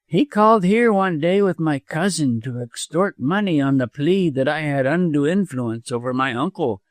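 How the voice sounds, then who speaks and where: Man putting on a venomous, high-pitched cowardly lawyer villain. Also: expressive, normal speed, no echo, clean studio sound, natural voice. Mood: smug, defiant. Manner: snarling, gasping, harsh, chuckling.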